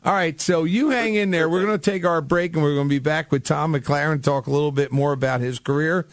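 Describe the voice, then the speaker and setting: A man's voice: fairly high pitch, a little fast, no room sound. A man hosting a radio show in a casual, chatty style.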